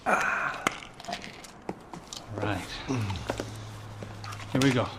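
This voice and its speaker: An actor playing an easygoing, streetwise bodyguard partner in a game cutscene, shrugging off danger. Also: male voice, mid-range voice, very slow, heavy background noise. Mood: casual. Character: laid-back male bodyguard partner, streetwise. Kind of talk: video game cutscene acting. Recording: loud game score behind.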